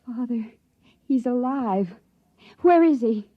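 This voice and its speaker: A woman's voice: on the high side, animated, takes it slowly. A woman playing an anxious, devoted young daughter, emotional and urgent, in a 1950s radio drama style. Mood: anxious hope. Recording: dull old radio recording.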